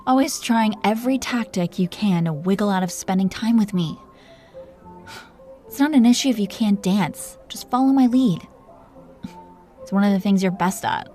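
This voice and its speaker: A woman's voice: medium pitch, moderate pace, pauses often, dark tone, soft background music, lightly acted. Woman playing a teasing, seductive dragon queen. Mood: playful, commanding. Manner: teasing, commanding, flirtatious with pet names. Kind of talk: intimate romantic roleplay audio acting.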